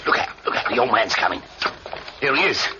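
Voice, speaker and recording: An actor playing an impatient, rough burglar in an old radio crime drama, barking orders. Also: male voice, mid-range voice, expressive, a little fast, close to an everyday voice. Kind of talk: 1950s radio drama acting. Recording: lo-fi old radio recording with hiss.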